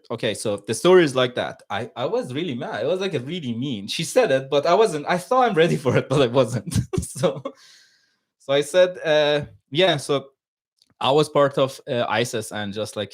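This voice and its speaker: A man with a Persian accent shares casual stories as a podcast guest. He speaks in a joking, conversational way, and laughter often creeps into his words. His voice sits at an ordinary male pitch. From Iran. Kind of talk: casual anecdote storytelling.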